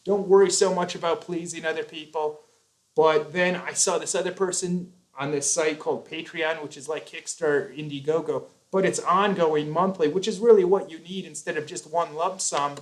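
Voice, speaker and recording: A man's voice: a little high, fairly even intonation, moderate pace, poor audio. A man hosting a live chat, talking earnestly and at length, with frequent restarts. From United States. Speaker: YouTube host.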